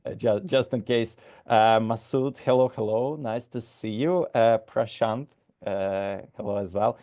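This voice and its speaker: Slow-talking, somewhat low-voiced man from Ukraine, halting moderator of a video call. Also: warm tone. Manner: hesitant, many "uh" and restarts.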